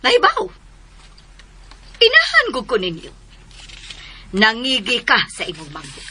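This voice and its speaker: A stern, shrewd mother in a radio drama, with animated, forceful delivery. She scolds and commands her grown sons. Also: a little high, unhurried, slight background noise, full character voice. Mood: stern, accusing.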